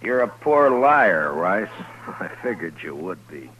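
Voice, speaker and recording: Deep-voiced, stern American frontier marshal. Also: male voice, big pitch swings, slow pace, heavily acted. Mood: probing, calm. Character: stern, persistent frontier US marshal, weary but fair. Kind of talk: 1950s radio western acting. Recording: dull, noisy old radio recording.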